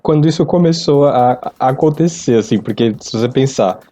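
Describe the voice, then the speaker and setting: A middle-aged Brazilian man thinking aloud in a casual, halting way on a podcast. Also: medium pitch, warm tone. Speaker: podcast panelist. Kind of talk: casual reflective podcast discussion. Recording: slightly dull lo-fi audio.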